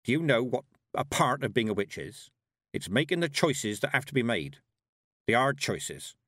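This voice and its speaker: A man voicing a stern, commanding old woman witch who talks in a brisk, no-nonsense way. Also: medium pitch, sing-song, a bit bright, no room sound, studio quality.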